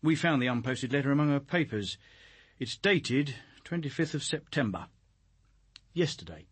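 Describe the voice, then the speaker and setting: An actor playing a shrewd police inspector, probing and dryly insinuating, with a British accent in a radio drama. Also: male voice, mid-range voice, animated, normal speed, husky, no echo. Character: shrewd, needling male police inspector questioning a suspect.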